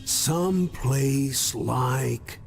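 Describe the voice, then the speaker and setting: Steady, serious older mentor. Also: male voice, medium pitch, extremely slow, vocal fry, faint music behind. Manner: serious, explanatory. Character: steady, knowledgeable older male mentor and grandfather. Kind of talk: cartoon video game acting.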